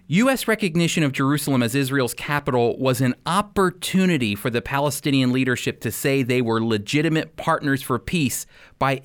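A man hosting a radio program as a Bible teacher, speaking with deliberate, emphatic phrasing. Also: mid-range voice, clear, resonant tone.

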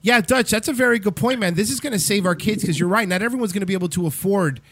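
A man's voice: sits high, quick pace, a bit bright, no echo. A man hosting a casual live talk show, enthusiastic and slangy in a buddy-like way.

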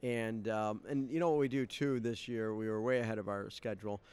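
A man co-hosting a casual podcast, talking in a halting way with many filler pauses. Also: fairly low pitch, steady tone, a little mellow, clean tone. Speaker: podcast co-host and fireworks importer. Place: convention hotel room.

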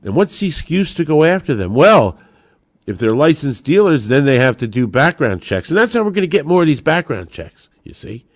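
A man delivers opinionated commentary as a podcast host, his pitch swinging widely as he drives points home. He sounds indignant and insistent, asking rhetorical questions and repeating key phrases. The sound is dry and close, with very little room in it. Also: big pitch swings, a little fast, no room sound. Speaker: host of a gun-rights podcast. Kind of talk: opinionated political commentary, addressed straight to listeners.

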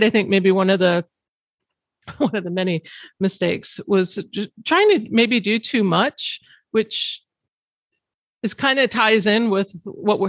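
A middle-aged woman hosts a relaxed podcast conversation, trading memories with her business partner and slipping laughs into her sentences. Her voice is recorded close and dry, with no room sound around it. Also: medium pitch, dry recording. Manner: laughs often while talking, loose and chatty. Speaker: podcast host. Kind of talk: casual conversation.

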